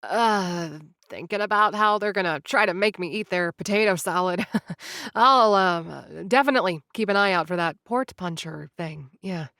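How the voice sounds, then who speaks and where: A woman's voice: lowish voice, moderate pace, pushed and bright, dry recording, studio quality. A woman playing a snarky young influencer. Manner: sarcastic, nervous, addresses the listener.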